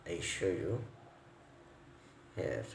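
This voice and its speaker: Male repair technician, non-native English speaker, slow halting demo delivery with gravelly low end, echoey indoor recording with faint noise. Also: very animated. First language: not English. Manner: halting, piecemeal phrasing, repeats words. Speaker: electronics repair technician giving a tutorial. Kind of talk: unscripted hands-on repair demonstration. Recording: roomy echo, faint background noise.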